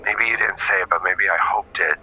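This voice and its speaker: A man's voice: low-pitched, steady tone, a little fast, pushed and bright. A man voicing a hurt, reproachful girlfriend who pleads and scolds in an improvised tabletop roleplay. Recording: muffled, noisy phone-like sound.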